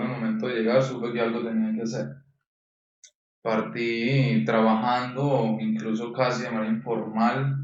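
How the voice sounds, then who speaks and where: A young man from Colombia answers an interviewer's questions in a measured, reflective way, often restarting his sentences. He sits away from the microphone. The room is heavily echoey and the sound is dull. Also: medium pitch, a little mellow, far from the mic. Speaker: guest, neurolinguistic programming coach.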